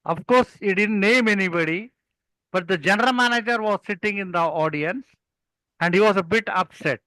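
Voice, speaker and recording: Older male, South Indian accent, Malayalam native; bright, high voice, didactic conversational storyteller, dry sound. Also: normal speed, no echo, low-quality recording. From Kerala, India. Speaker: guest, experienced club speaker.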